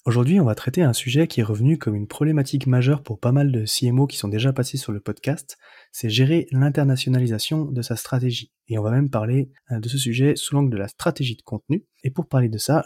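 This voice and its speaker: A man with a mellow, slightly husky voice hosts a podcast interview, asking hesitant questions full of fillers. The sound is dry and close, with faint music underneath. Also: medium pitch, dry recording. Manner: hesitant, many fillers and restarts. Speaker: podcast host. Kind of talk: interview conversation.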